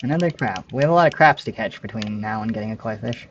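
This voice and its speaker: A man's voice: fairly low pitch, lively intonation, fast, dull audio, slight background noise. A man in his late twenties chatting casually with viewers while streaming a game, rambling and correcting himself as he goes, with frequent laughs. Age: 20s.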